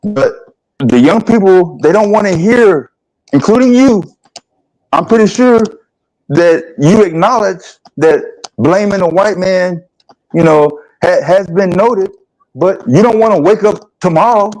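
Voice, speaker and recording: Brief: male host in his fifties from the American South, a touch high-pitched, halting and plainspoken. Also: lively intonation, a little mellow, low-quality recording. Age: 50s. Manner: plainspoken, halting, asks rhetorical questions. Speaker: livestream host. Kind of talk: casual discussion.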